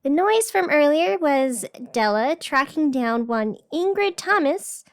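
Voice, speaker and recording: Woman acting a radio host, very high voice, chatty, frazzled, exasperated, dry close sound, fiction podcast broadcast. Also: no echo.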